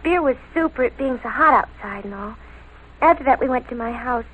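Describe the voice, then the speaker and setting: Lovestruck american teen girl, high voice, breathless n naive, gushy 40s radio drama, bit of hiss. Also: animated, a bit bright. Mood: hesitant, confiding. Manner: breathless, slangy, halting confession. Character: lovestruck, defiant teenage girl, gushing and naive. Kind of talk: 1940s American radio crime drama acting. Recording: noisy vintage radio recording.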